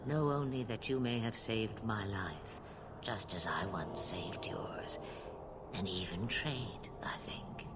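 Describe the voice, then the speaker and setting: An actress playing an ancient dragon witch in an old woman's form, with a breathy, cryptic, theatrical delivery in a video game. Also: very deep voice, very noisy. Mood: grave, prophetic. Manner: slow, theatrical, grandly cryptic. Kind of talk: video game cutscene acting. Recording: voice under loud background music.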